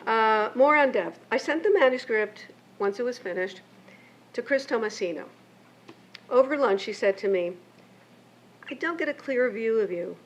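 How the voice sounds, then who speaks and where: An older woman, raised between Mexico and the United States, reads a prepared keynote speech in a measured, reflective way from her pages. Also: on the high side, lively intonation. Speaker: keynote speaker and memoirist. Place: lecture event. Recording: muffled lo-fi with faint hiss.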